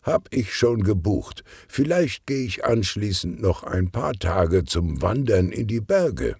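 A man acts out a jovial older police detective from a children's audiobook. The voice is husky and lively, good-humoured and a little bumbling. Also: fairly low pitch, takes it slowly, no room sound, studio quality, voice pushed up, heavily acted. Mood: cheerful.

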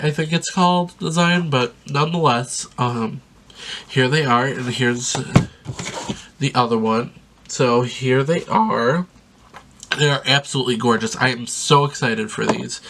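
A man's voice: medium pitch, a bit bright. A young man in his twenties, congested from a sinus infection, chatting enthusiastically and rambling with frequent ums as he unboxes a gift in a small bedroom, filmed close to the camera. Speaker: vlogger. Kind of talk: casual unboxing vlog.

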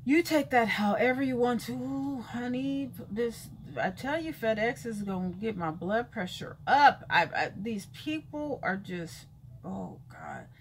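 A 51-year-old woman vlogging a sassy, emphatic rant from a bright room with books, repeating lines for effect and calling listeners baby and honey, gesturing as she talks. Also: fairly high pitch, a little slow, slight background noise, rough recording. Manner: lively hand gestures while talking. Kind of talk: casual talking-head rant. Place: bright room with shelves of books by a window, indoors.

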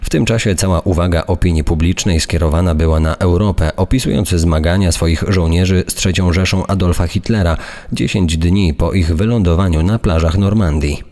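A man's voice: sits low, steady tone, normal speed, darkish tone. A man reading scripted documentary narration in a formal, factual way.

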